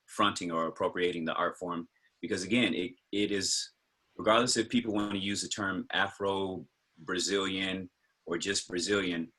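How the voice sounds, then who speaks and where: A man's voice: low voice, pushed and bright, breathy edge. An American man, a capoeira teacher on a video-call panel, giving his personal opinion in a thoughtful, hesitant way. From United States. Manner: thoughtful, hesitant with fillers and restarts.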